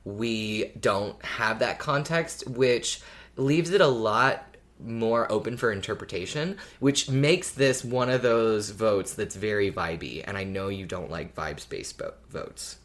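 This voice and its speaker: Measured male podcast co-host. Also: lowish voice, fairly bright tone. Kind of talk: casual podcast discussion.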